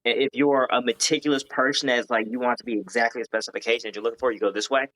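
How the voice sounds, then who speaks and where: Brief podcast guest, male, from Hawaii, bright tone, earnest and rambling. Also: medium pitch, fairly even intonation, very fast, poor audio. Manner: earnest, rambling, many fillers and restarts. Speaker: guest, founder of a gaming company. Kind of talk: casual podcast interview.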